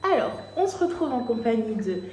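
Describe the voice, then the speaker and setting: A woman's voice: a little high, very animated, brisk, darkish tone. A woman vlogging at home on her phone, chatting casually with a stuffy nose from a cold and lots of fillers and restarts. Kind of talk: casual diary vlog. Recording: noticeable background noise.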